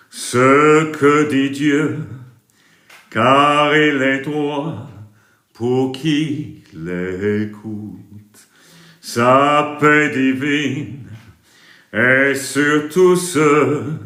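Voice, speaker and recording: Man, British accent, preacher, slow sung hymns, bright voice, echoey room. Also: mid-range voice. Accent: British English. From England. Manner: drawn-out sung hymns, brisk chatty asides to viewers. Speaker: preacher and worship leader. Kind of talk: livestream worship singing and chatting with viewers.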